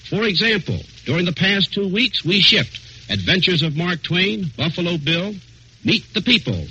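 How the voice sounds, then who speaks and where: A man's voice: high-pitched, big pitch swings, moderate pace, bright, forceful tone, slightly rough. A man with an American accent, an army officer, reading a formal scripted report on 1940s radio. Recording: dull, lightly noisy vintage radio recording.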